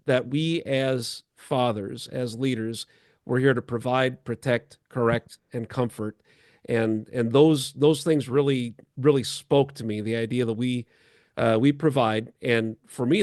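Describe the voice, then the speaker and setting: A man hosting a casual church chat show, speaking off the cuff in a halting way with frequent pauses. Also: medium pitch, darkish tone, dry recording, studio quality.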